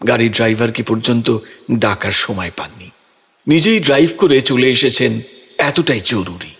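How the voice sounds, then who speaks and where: A man's voice: mid-range voice, expressive, normal speed, a bit bright, breathy edge. A man narrating a scripted audio story in a dramatic storytelling style. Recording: music behind the voice.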